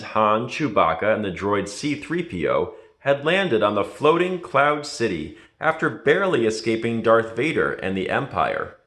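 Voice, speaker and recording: A man reading a children's story aloud as a scripted narration, in a dramatic, deliberate manner. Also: medium pitch, fairly bright tone. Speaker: storybook reader. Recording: echoey room.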